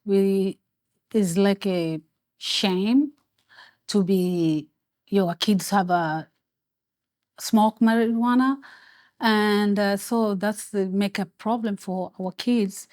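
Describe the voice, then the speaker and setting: Slow-spoken mother from Tanzania. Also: medium pitch, darkish tone, no echo, studio quality. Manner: earnest, pleading with kids to open up. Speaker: parent. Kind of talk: community discussion.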